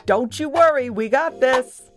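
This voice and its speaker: Eager, good-natured dog leader read by a woman, warm exclamations, children's storybook, light music behind. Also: high-pitched, animated, unhurried, pitched above the performer's own voice. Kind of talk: children's storybook character reading.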